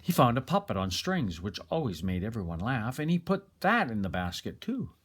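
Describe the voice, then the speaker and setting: A man reading a children's story aloud in an expressive narrating style. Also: medium pitch, normal speed, husky. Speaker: storybook reader.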